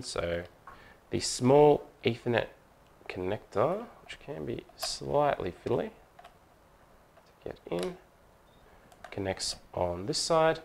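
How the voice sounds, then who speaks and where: A man's voice: medium pitch, vocal fry. A man presenting a hands-on product tutorial in an informal, methodical, step-by-step way.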